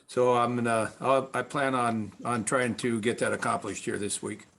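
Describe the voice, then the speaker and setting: A man's voice: medium pitch, steady tone, normal speed, vocal fry, fairly bright tone. A man making informal remarks as a participant in a meeting.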